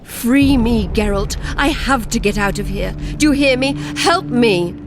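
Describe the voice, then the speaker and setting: A proud captive sorceress. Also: female voice, fairly high pitch, measured pace, background noise. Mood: urgent, pleading. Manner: urgent, pleading yet haughty. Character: proud imprisoned sorceress, middle-aged woman. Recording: game audio with orchestral music.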